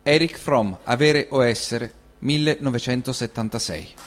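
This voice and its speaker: A man giving a solemn, deliberate, declamatory reading of a literary essay. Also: medium pitch. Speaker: reader in a radio collective of actors.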